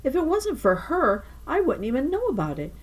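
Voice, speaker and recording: A woman reading a children's novel aloud like a teacher to her class, with animated storytelling and emphatic phrasing. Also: high-pitched, moderate pace, poor audio. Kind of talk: expressive children's novel narration.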